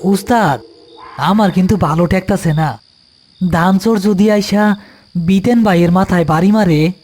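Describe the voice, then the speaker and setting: A man's voice: sits high, moderate pace, a little mellow. A man voicing an anxious, deferential young villager with a rural Bangladeshi accent in a horror cartoon. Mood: worried. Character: nervous young village guard's apprentice, male.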